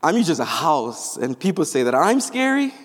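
A young man from Jamaica delivers a chapel sermon in a somewhat high voice. His phrasing is animated and rises on emphatic words. The sound carries a long reverb from the hall. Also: brisk. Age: young adult. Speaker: student preacher. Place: large chapel.

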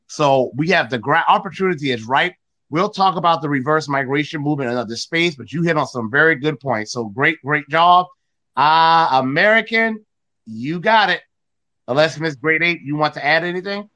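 Male host, high voice, emphatic and preachy, hammers his points home. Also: lively intonation, moderate pace, pushed and bright. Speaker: host of an online audio room. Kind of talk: political talk.

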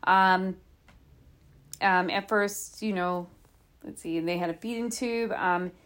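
A woman's voice: fairly low pitch, slow pace, a bit bright. A woman hosting a podcast, telling a true crime case in an informal, conversational way with asides and rhetorical questions. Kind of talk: conversational storytelling from notes.

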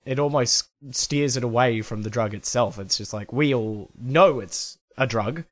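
A man's voice: medium pitch, a little slow. A man hosting a podcast while sick, with a blocked, nasal voice, chatting casually and playfully with a faint fuzz under his track. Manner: chatty, playful, laughs at himself. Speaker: podcast host.

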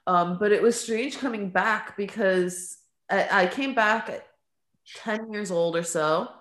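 A woman's voice: mid-range voice, measured pace, a bit bright. A woman, a podcast guest and artist, telling stories of growing up in a rambling, casual way, dropping "like" and "um" and laughing often. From California and Lebanon. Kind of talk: casual storytelling in an interview. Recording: roomy echo.

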